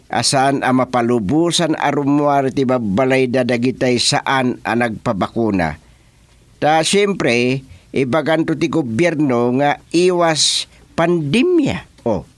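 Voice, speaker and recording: Masiglang Ilokanong lalaki, pabago-bago ang tono. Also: male voice, medium pitch, no room sound. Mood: gossipy, animated. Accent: Ilocano. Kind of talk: Ilocano comedy radio drama acting.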